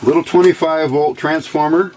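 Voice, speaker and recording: A man's voice: a little high, steady tone, normal speed, darkish tone. A man giving a casual hands-on electronics demonstration, informally thinking aloud in short phrases. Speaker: electronics hobbyist presenter. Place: indoors at a workbench. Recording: noisy home-video recording.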